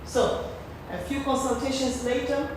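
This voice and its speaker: Woman acting haughty scheming wife, highish voice, deliberate theatrical delivery, very noisy stage recording. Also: little pitch movement, very echoey, distant mic. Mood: mocking, calculating. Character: imperious, scheming estranged wife and mother of grown children.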